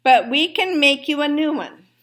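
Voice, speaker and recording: A little storybook mouse dentist, played by a woman, male, firm and polite. He makes formal, emphatic announcements in a high voice. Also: normal speed, fairly bright tone. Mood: firm, commanding.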